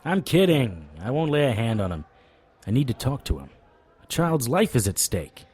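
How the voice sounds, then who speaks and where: An actor playing a wry, tough supernatural private investigator in a noir audio drama, with a deadpan, sardonic delivery. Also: male voice, medium pitch, normal speed, slightly rough. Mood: persuasive, joking.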